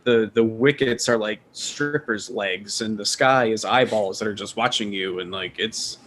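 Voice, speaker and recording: A man's voice: lowish voice, a little slow, a bit bright. A man on a podcast panel, explaining things casually with vivid descriptions.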